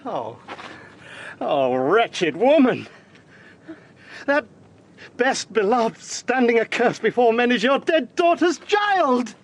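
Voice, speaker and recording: An actor playing an earnest young lawyer in a period audio drama, formal and dramatic. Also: male voice, very high voice, big pitch swings, takes it slowly, long pauses, full character voice. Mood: horrified. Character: earnest young eighteenth-century English lawyer.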